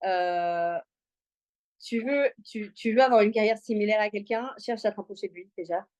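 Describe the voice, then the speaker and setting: A woman's voice: medium pitch, normal speed, a little mellow. Casting: chatty female podcast guest, mid-range voice, casual and slangy delivery. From Congo, moved to Belgium aged six. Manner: talkative, informal, slangy. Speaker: interview guest, comedian. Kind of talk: casual personal storytelling in an interview.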